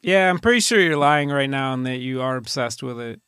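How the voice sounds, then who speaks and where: A man's voice: mid-range voice, lively intonation, brisk, bright, forceful tone, clean tone, no echo, studio quality. A man co-hosting a podcast, chatting casually and joking dryly. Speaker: podcast co-host. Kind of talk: casual banter.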